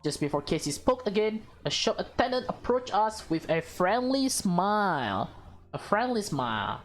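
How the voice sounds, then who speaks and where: A woman doing a casual let's play, reading a visual novel aloud with expressive, reactive commentary. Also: medium pitch, normal speed, soft background music. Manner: expressive, reactive, slips into character lines. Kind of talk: casual playthrough commentary mixed with dramatic reading.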